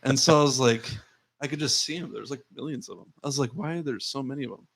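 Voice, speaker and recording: A man's voice: medium pitch, fast, gravelly, low-quality recording. A young man in his early twenties, a podcast co-host, rambling casually, laughing often and swearing. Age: young adult. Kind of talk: casual chat.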